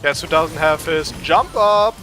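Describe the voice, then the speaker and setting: A young man with a German accent, giving excitable, swearing, trash-talking gameplay commentary. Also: a little high, unhurried, faint music behind. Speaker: gaming streamer.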